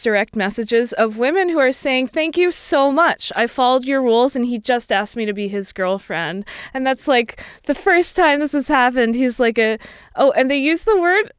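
Clear-voiced woman in her early thirties. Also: a little high, dry recording, clean studio sound. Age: early 30s. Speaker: podcast guest. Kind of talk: casual interview chat, explaining.

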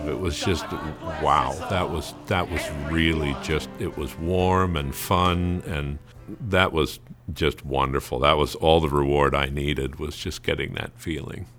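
Low-voiced man telling casual anecdotes. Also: fairly even intonation, a little slow, over music. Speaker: amateur playwright being interviewed. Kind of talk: casual anecdotal interview talk.

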